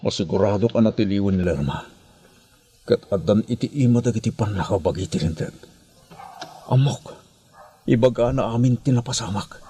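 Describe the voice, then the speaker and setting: A man's voice: lowish voice, normal speed, pauses often, dark tone, natural voice. A man playing a weary, remorseful fugitive in an audio drama, speaking in a subdued, earnest way. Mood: uneasy. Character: weary, remorseful male fugitive urging surrender. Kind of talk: amateur Ilocano audio drama acting. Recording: faint background noise.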